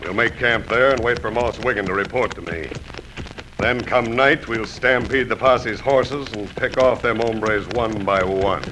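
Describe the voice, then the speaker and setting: A man's voice: fairly low pitch, unhurried, natural voice. A man playing a vicious, boastful outlaw gang boss with a Western American drawl in an old radio western. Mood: curt, menacing. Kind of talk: 1940s-50s radio western acting. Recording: noisy old radio recording, outdoor effects.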